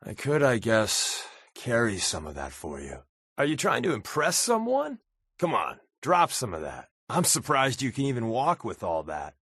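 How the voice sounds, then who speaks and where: Wry, animated wisecracking secret agent. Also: male voice, medium pitch, normal speed, breathy edge, dry recording, clean studio sound, full character voice. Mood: teasing. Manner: sardonic, casual, joking. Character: wry, wisecracking adult male undercover agent. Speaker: voice actor playing a game companion. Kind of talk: video game character dialogue.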